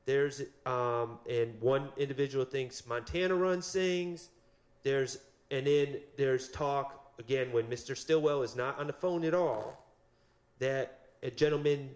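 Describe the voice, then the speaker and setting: Man arguing haltingly in court. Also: mid-range voice. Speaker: defense attorney. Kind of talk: courtroom legal argument.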